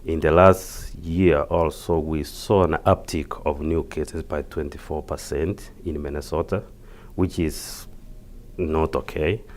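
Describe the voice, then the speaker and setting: A man with a Kenyan accent explaining things as an interview guest, haltingly and repeating words. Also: extremely low pitch, fairly even intonation, darkish tone. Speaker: interview guest, community health project worker.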